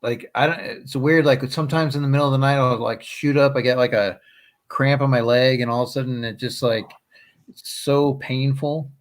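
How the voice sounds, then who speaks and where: A man's voice: medium pitch, fairly even intonation, moderate pace. Man, about fifty, mid-range voice, rambling, loose casual talk. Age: 50s. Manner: rambling, loose, full of "like" and "you know". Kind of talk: casual group chat.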